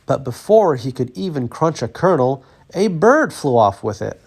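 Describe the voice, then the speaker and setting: A man narrating a children's story as an expressive read-aloud. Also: mid-range voice, moderate pace, vocal fry.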